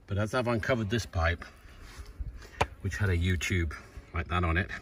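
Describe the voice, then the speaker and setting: A man's voice: low-pitched, normal speed. A man in his fifties giving an informal, wry, explanatory vlog tour of an old farm he is restoring, recorded on a handheld phone. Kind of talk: informal narrated video tour. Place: outdoors on farm land and inside stone barn. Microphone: handheld phone at arm's length. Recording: clear background noise, clicks.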